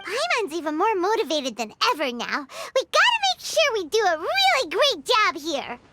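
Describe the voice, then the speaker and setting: A tiny, eager girl companion from a video game, with an extremely high, squeaky voice. Her delivery swoops wildly and emphatically. Prominent music plays behind her. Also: huge pitch swings, normal speed, exaggerated voice. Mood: eager, cheerful. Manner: chirpy, emphatic, refers to herself in third person. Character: small, childlike floating girl sidekick, perky and eager. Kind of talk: video game cutscene acting.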